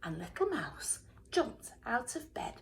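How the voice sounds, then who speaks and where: A woman reading a children's storybook aloud in a dramatic way, often dropping into hushed whispers. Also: a little high, very animated, takes it slowly, a little hiss or hum. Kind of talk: expressive children's storybook narration.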